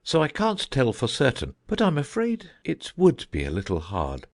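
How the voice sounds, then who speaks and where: A man voicing a solemn, dreamy old knight who rambles about his inventions, in a husky voice. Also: moderate pace. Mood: musing. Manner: rambling, thoughtful, lively pitch swings.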